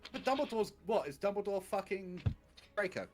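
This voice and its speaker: Man reading fiction aloud, lively pitch. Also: moderate pace, faint music behind. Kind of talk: comic read-aloud and casual banter.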